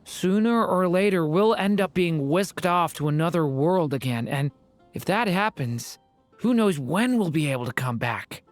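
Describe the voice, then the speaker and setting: An actress playing a gentle, thoughtful young dragon boy in a fantasy game, soft-spoken and earnest. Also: lowish voice, lively intonation, normal speed, dry recording, close to an everyday voice. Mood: reflective, calm. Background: music.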